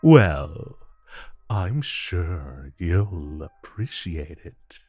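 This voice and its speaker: A man playing a suave, flirtatious supervillain in a romantic audio roleplay, with a smooth, teasing drawl and deliberate pauses. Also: low voice, very animated, a little mellow, no room sound, faint music behind, heavily acted. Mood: flirtatious, relaxed. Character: suave, flirtatious male supervillain courting his tailor.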